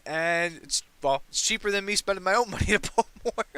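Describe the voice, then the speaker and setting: A young man with a fairly high, bright and forceful voice hosts a casual gaming podcast from a home mic. He rambles excitedly, swears offhandedly and jumps from point to point. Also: fairly quick, clear, resonant tone, no room sound. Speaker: podcast host.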